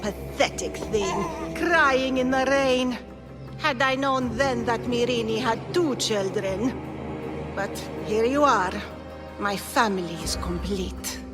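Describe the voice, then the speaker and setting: A woman's voice: medium pitch, lightly acted. An actress playing a cruel, fanatical cult villain in a video game, a twisted mother speaking in a gloating, menacing, theatrical way. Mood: mocking, wistful. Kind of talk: dramatic video game acting. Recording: loud music behind the voice. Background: cinematic game music.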